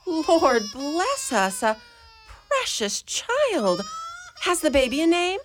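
Woman doing a bossy mother superior, lively lilting voice. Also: high voice, slow, a little hiss or hum, voice pushed up, full character voice. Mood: astonished, tender. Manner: commanding, then cooing. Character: brisk, stern but tender Irish-style Mother Superior.

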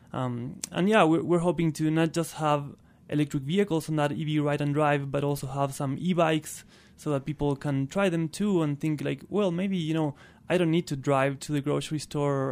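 Smooth-voiced man with an Argentine accent. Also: fairly high pitch, steady tone, normal speed, few pauses, darkish tone. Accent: Argentine Spanish. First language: Spanish. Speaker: interview guest, clean transportation programme worker. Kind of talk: casual radio interview.

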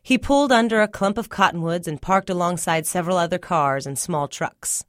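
A woman's voice: on the low side, a little fast, no room sound, studio quality. A woman reading a first-person literary short story aloud as scripted narration. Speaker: story reader.

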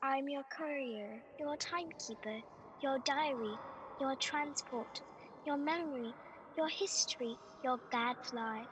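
An actress playing an otherworldly guiding spirit in a radio play, speaking in measured, incantatory phrases. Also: female voice, high voice, noisy background. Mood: teasing, insistent.

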